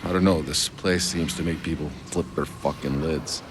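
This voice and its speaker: An actor playing a gruff, sardonic, foul-mouthed soldier with a terse, dry manner in a video game. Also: male voice, steady tone, normal speed, noisy background. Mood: dry, wary. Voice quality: low, gravelly. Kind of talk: video game cutscene acting.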